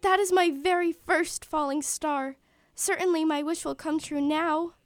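Radio play: polite, hopeful little match girl, high-pitched adult woman acts her, earnest, formal delivery, dry close sound. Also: steady tone, unhurried, dry recording. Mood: yearning, wondering. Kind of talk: stage-style radio play acting.

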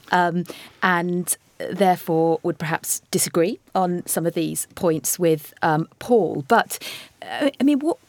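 A woman's voice: fairly low pitch, a little mellow, dry recording. Woman host putting probing questions. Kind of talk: interviewing a panel.

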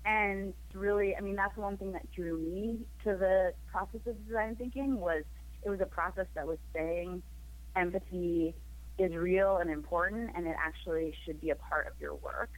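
A woman guest, hoarse from a cold, explaining her work in an upbeat way. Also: lowish voice, moderate pace, poor audio. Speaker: program manager, guest. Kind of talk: phone interview.

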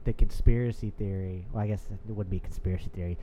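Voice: low voice, steady tone, fast talker, dark tone. A young adult chatting casually and loosely, with plenty of filler words. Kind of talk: casual chat. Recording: slightly dull, faint background noise.

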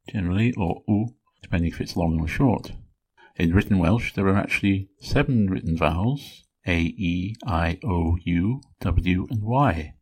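A man from England hosting a podcast, explaining points one by one in a measured, explanatory way. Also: deep voice, darkish tone, husky. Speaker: podcast host. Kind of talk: solo educational podcast talk.

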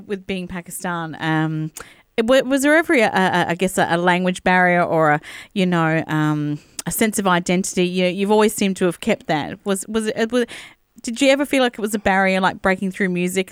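A woman's voice: on the low side, brisk, few pauses, clear, resonant tone, no room sound, clean studio sound. A woman hosting a casual interview, asking hesitant questions with lots of stumbles. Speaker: radio host.

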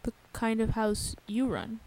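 A woman's voice: a little high, unhurried, a little mellow, poor audio. An actress playing a grave, intent female interviewer in a radio play, speaking in a restrained and serious way. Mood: gentle, curious.

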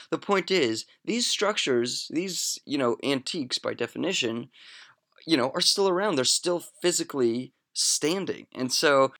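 A young man hosts a podcast and talks through history facts in a relaxed, chatty way. He drops jokes and asides and fills pauses with "uh" and "um". His voice is bright and sits at a medium pitch. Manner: chatty, joking asides, frequent fillers. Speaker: podcast host. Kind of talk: casual educational monologue.

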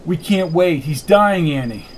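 A man voicing a commanding male leader in an audiobook, worried for his friend, terse and forceful. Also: on the high side, lively intonation, unhurried, a little hiss or hum. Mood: urgent.

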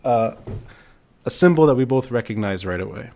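A man's voice: medium pitch, lively intonation, normal speed, mellow. A man from Lebanon hosting a conversational podcast interview, thoughtful and reflective, piecing his thoughts together as he goes. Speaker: podcast host.

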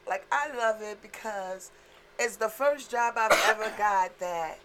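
A woman's voice: mid-range voice, measured pace, a bit bright, slight background noise. A middle-aged woman from Trinidad, a comedian talking bluntly and laughing often in a casual podcast chat. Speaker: comedian guest.

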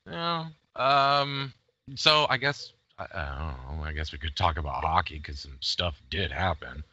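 A middle-aged man hosts a casual sports podcast with a joking, self-deprecating air. His voice is fairly low and forceful, with very lively intonation. The recording is a little dull and lo-fi. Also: slow pace. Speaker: podcast host.

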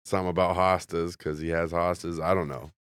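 A man's voice: low-pitched, little pitch movement, a little fast, a bit bright, smooth, dry recording, studio quality. A congested man, a comedian guest, rambling through jokey stories in a casual podcast chat.

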